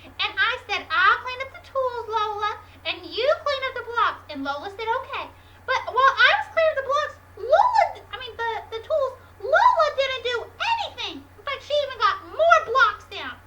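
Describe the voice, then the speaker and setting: A woman's voice: extremely high pitch, sing-song, moderate pace, sharp tone, reverberant, recorded from a distance, a little hiss or hum, exaggerated voice, poor audio. A woman voicing an earnest little boy who follows the rules and gets frustrated easily, in a whiny, indignant way. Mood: explaining.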